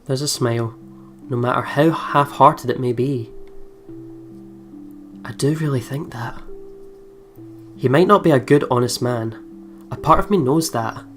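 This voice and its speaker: A man hosts a let's play in a medium-pitched voice. He reads the story aloud expressively and drops in playful asides, with soft guitar game music in the background. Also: measured pace, pauses often.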